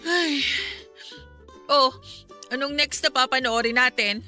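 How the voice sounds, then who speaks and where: Bright, high-voiced sentimental young woman. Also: takes it slowly, full character voice. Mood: casual, resigned. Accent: Filipino. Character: tearful young woman, sentimental, teasing with her friend. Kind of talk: Filipino radio drama acting. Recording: music bed behind the voice.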